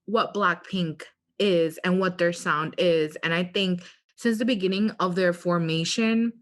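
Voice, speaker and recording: Low-pitched woman co-hosting a podcast. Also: fairly even intonation, measured pace. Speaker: podcast co-host. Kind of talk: casual opinionated podcast chat.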